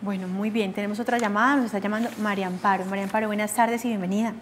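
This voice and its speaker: Woman, TV host, call-in show, rapid delivery, faint background noise. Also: mid-range voice, fairly even intonation. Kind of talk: hosting a call-in programme.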